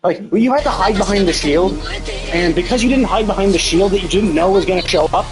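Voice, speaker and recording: A woman's voice: mid-range voice, a little fast, music in the background, rough recording. A woman doing live video game commentary, ranting in an exasperated, heavily sweary way.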